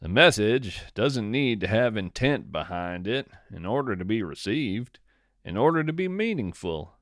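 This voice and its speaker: A man narrating a scripted podcast as its host, in a measured, reflective way. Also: lowish voice, no echo, clean studio sound. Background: plucked guitar music.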